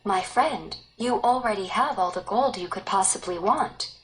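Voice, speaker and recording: A woman voicing a merry male god of legend who gently chides and forgives, in a storybook reading. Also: mid-range voice, moderate pace, a little hiss or hum, lightly acted. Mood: disappointed. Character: merry male god, kindly but disappointed.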